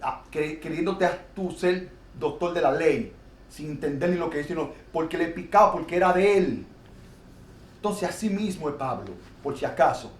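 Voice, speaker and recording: A man's voice: fairly high pitch, lively intonation, moderate pace. A Dominican man with a Dominican Spanish accent, preaching his views animatedly in an informal Bible discussion, often restarting his sentences. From Dominican Republic. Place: New York. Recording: echoey room, faint noise.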